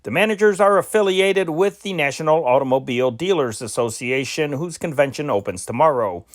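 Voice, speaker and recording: A man reads old newspaper dispatches aloud in a slightly raised register. His delivery is measured and unpolished, and he sometimes stumbles and corrects himself. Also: on the high side, lively intonation. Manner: amateur, measured reading with occasional slips and self-corrections. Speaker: news reader.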